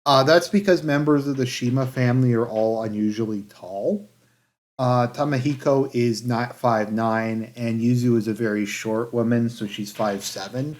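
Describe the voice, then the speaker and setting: A man giving casual review commentary in a halting, hedging way, with phrases that trail off. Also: mid-range voice. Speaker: anime reviewer.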